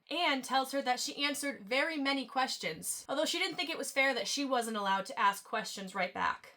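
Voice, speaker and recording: A woman's voice: a little high, sharp tone. A young woman with a nasal voice and a sore throat, casually recapping a book to camera with playful asides and the odd stumble while reading aloud. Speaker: book channel host. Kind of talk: casual chapter recap with read-aloud quotes. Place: at home, talking to camera.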